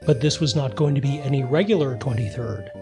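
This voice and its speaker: A man's voice: medium pitch, a little fast. A man narrating a radio play as a scripted storyteller, speaking directly to the listener. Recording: music behind the voice. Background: music.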